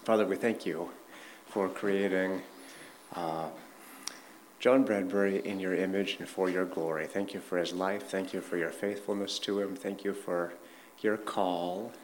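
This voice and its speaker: Deep voiced pastor type praying, earnest, little background hiss. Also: male voice, steady tone, unhurried. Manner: earnest in prayer, teasing with the guest. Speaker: pastor leading the interview. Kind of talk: prayer and interview questions.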